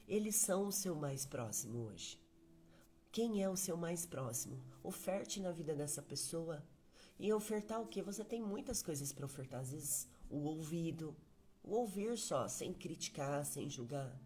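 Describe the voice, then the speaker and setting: A woman's voice: low-pitched, warm tone. A woman giving a morning devotional talk, speaking earnestly, asking listeners questions and repeating key phrases for emphasis.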